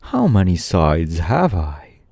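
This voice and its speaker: A man voicing a humble, simple-minded wooden sawhorse come to life, talking in a slow, wondering drawl. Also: sits low, huge pitch swings, gravelly, a little mellow, husky, dry recording, exaggerated voice.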